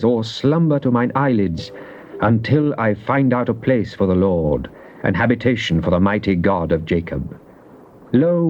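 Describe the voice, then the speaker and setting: A man giving a dramatized recitation of psalms, solemn and declamatory, with drawn-out emphasis. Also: medium pitch. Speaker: scripture reader. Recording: muffled, noisy old cassette tape.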